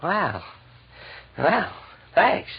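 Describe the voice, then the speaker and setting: An actor in an old-time radio drama, playing an earnest, excitable and slightly hesitant department store employee, deferential to his boss. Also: high-pitched, very slow, gravelly, husky, full character voice. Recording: noisy, dull 1940s radio recording, crowd.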